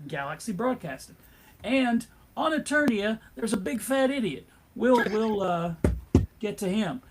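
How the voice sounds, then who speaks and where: A man's voice: sits high, a little hiss or hum, low-quality recording. A man acting as panelist and narrator of a comic book table read, with theatrical, emphatic delivery.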